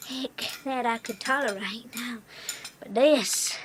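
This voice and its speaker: Old country granny, actress, folksy and bossy, Southern drawl, very slow and highish, for comedy audio drama. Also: female voice, heavy background noise. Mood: reluctant. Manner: drawn-out, hedging, folksy.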